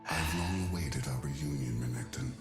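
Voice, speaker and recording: Extremely deep, solemn ancient warrior god. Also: male voice, normal speed, vocal fry, roomy sound, over music. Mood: mournful. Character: ancient, calm, wise jackal-headed warrior god, sorrowful elder brother. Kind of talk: video game voice lines.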